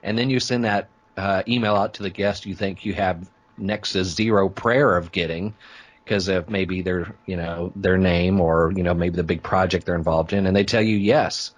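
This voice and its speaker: A man's voice: low-pitched, steady tone, normal speed. A man who hosts podcasts, chatting informally and telling anecdotes in a casual interview. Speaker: podcast host being interviewed.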